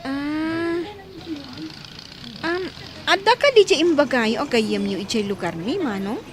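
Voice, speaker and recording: A woman's voice: high-pitched, animated, a little slow. A woman with an Ilocano accent playing an anxious, pleading young village woman in a radio comedy drama. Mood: probing.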